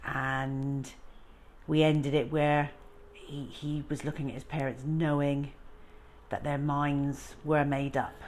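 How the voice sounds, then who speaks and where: A woman reading a children's story aloud, moving between informal chat and expressive narration as a storytime host. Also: low-pitched, takes it slowly.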